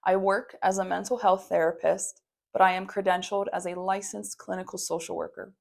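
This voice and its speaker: A woman's voice: mid-range voice, steady tone, brisk, vocal fry, a little mellow. A young woman from Maui hosting her own podcast, talking in an earnest, affirming way and often adding "you know". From Maui, Hawaii. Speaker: podcast host and therapist. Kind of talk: heartfelt solo podcast monologue.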